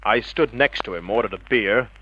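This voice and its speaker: An actor playing a tough, wisecracking private detective, terse and cocky, narrating in the hardboiled style of an old radio crime drama. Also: male voice, on the low side, moderate pace, pushed and bright, slight background noise. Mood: wry, matter-of-fact. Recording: dull vintage radio drama recording.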